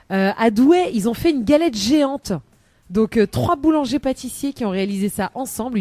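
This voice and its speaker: A woman's voice: mid-range voice, mellow, no echo. Chatty woman radio columnist. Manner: rambling, lots of hesitations, laughs often. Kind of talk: chatty live radio segment. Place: radio studio.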